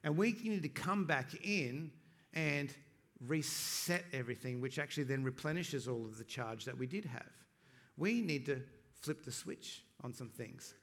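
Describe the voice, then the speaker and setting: A man preaching a sermon, swinging between loud, emphatic declarations and quiet, joking asides to the congregation, slowing down to stress key phrases. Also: mid-range voice, expressive. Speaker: pastor.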